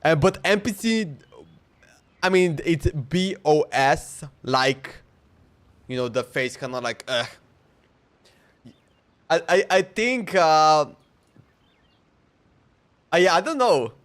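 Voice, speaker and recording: High-voiced young man rambling on stream. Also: expressive, takes it slowly, pauses often, a bit bright. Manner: rambling, slangy, stammering repetitions. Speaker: livestreamer playing a game. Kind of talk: casual livestream chat.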